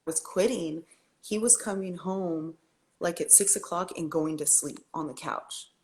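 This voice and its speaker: A woman's voice: fairly low pitch, measured pace, a little mellow. A woman guest on a casual livestream chat, talking emphatically and bluntly, with some swearing.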